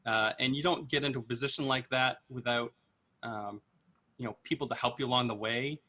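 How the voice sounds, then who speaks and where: Male panelist, mid pitch, bright, hesitant and filler-heavy. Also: fairly even intonation. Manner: halting, full of uhs and you knows. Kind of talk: casual group chat over a call.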